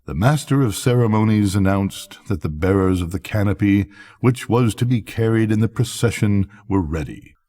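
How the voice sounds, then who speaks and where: A man's voice: lowish voice, animated, normal speed, hardly any pauses, dark tone. An older man narrating a bedtime story as a grandfatherly storyteller.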